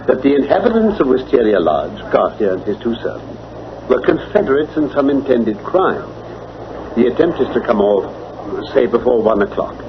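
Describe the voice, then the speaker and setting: An old, dull and slightly noisy radio recording of a man acting an assured English gentleman detective. He reasons in precise, formal British speech, with a lively, swinging intonation. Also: medium pitch, close to an everyday voice. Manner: precise, deductive, formal diction. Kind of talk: mid-century radio drama acting.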